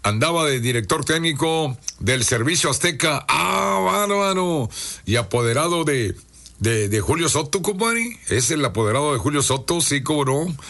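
A hoarse man, hosting a radio show and reading listener greetings in a chummy, casual way. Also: fairly high pitch, animated, normal speed, fairly bright tone. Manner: chummy, reads listener messages aloud. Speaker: radio host. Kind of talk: casual radio shout-outs.

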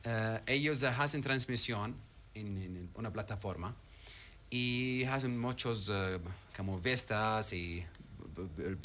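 A man with an Arabic accent, a guest in a casual interview, speaking in halting non-native phrasing with frequent pauses. His first language is Arabic. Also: fairly low pitch, sharp tone, a little hiss or hum.

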